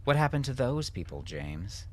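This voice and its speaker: A man voicing a bitter, anguished wife and mother with a sharp, sardonic manner in an audiobook drama. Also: mid-range voice, big pitch swings, moderate pace, pitched above the performer's own voice, lightly acted. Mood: sardonic, probing. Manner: sharp, sardonic, sometimes near whispering.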